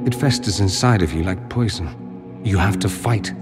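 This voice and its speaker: An actor playing a wounded, proud mage in a fantasy game, wry and heartfelt. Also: male voice, low voice, moderate pace, lightly acted. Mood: hurt, sincere. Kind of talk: video game cutscene dialogue. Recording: loud music behind the voice.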